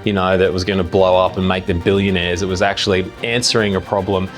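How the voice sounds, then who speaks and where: Deep voiced guy commenting on a design, chatty, some music behind. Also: little pitch movement, fast. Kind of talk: conversational commentary.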